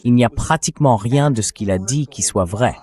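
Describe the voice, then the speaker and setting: A man's voice: medium pitch, fairly quick, darkish tone. A man delivering blunt, argumentative political commentary in a dubbed, conversational style.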